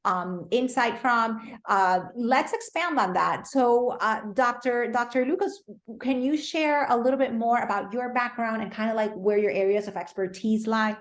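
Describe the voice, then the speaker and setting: A woman hosting an online information session in a polite, semi-formal way, halting and repeating phrases as she goes. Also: on the high side. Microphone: video call. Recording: roomy, echoey video call.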